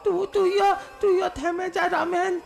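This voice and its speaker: Sorrowful old cartoon ghost: piercingly high, slow, drawn-out rustic delivery. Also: female voice, flat delivery, creaky, exaggerated voice. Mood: earnest, regretful. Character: sorrowful elderly ghost of a poor treasure-seeker. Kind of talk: children's cartoon story acting.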